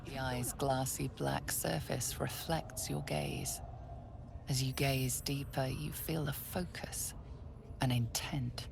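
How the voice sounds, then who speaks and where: A woman performing a grave, ominous fantasy game narrator in a measured, hushed, deliberate manner. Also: rumbling low, steady tone, vocal fry, noisy background, exaggerated voice. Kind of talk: scripted second-person game narration.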